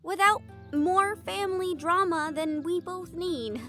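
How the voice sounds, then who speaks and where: High-voiced, anxious, tender young woman, acted. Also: a little slow, bright, forceful tone, soft background music, exaggerated voice. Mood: worried, earnest. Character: anxious, tender young human woman from a vampire-hunter family. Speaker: audio roleplay performer. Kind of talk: intimate audio roleplay acting.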